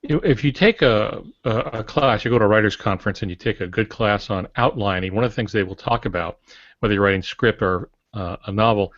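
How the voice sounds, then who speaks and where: An older man explaining things in a halting, digressive way as a co-host in a panel discussion. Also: lowish voice, a bit bright. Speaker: podcast co-host, writing instructor. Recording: muffled, roomy lo-fi podcast audio.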